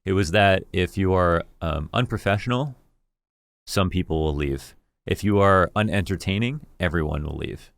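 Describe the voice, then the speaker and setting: A man with a deep voice, an esports commentator candidly reviewing his own work in a rambling, self-critical way. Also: moderate pace, gravelly, no echo. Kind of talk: reflective talk reviewing his own casting.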